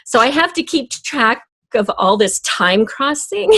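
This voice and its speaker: A woman's voice: on the high side, sing-song, a little slow, pushed and bright, slightly rough, no room sound. A woman speaking as an interview guest, explaining her writing process in a conversational way. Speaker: guest, author of an audio drama.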